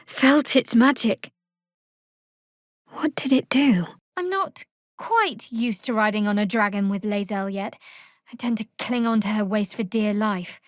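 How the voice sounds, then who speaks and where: An actress playing a guarded, devout young half-elf cleric woman in a video game, measured and dryly sardonic. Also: on the high side, dry recording, studio quality, lightly acted. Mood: hushed wonder, then reflective. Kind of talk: video game character voice acting.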